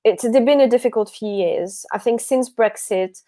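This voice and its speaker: A woman's voice: a little high, moderate pace, warm tone. A woman from Belgium, a guest author on a livestream, chatting casually and a bit tired, often correcting herself and laughing.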